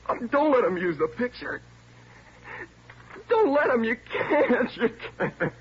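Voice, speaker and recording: An actor playing a scared twenty-year-old car thief in a 1950s radio drama, sullen at first and then pleading. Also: male voice, very high-pitched, sing-song, slow pace, breathy edge, heavily acted. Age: young adult. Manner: sullen and curt, breaking into frantic pleading. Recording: dull old radio broadcast with faint hiss.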